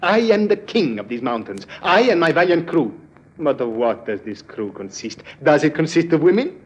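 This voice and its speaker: An actor in a 1950s radio drama playing a boastful, melancholy Sicilian bandit chief with an Italian accent, grand and full of self-pity. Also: male voice, a little high, very animated, normal speed, slightly rough. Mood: mournful, grandiose. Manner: grandiose, theatrical, self-pitying. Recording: dull vintage radio, music behind.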